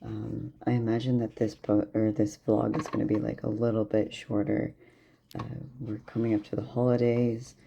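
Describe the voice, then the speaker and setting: A man's voice: fairly low pitch, little pitch movement, normal speed, gravelly, dark tone, a little hiss or hum. A tired young man talking candidly in a casual video diary, rambling with lots of fillers. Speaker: vlogger. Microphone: camera propped near a plant. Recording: dull lo-fi camera audio.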